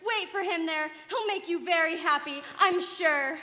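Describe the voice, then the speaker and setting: A woman's voice: extremely high pitch, measured pace, sharp tone, heavily acted. A woman playing a bitter, melodramatic young fiancee in a radio drama, with an emphatic theatrical delivery. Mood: furious, betrayed. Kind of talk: stage radio drama acting. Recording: echoey hall, clearly noisy.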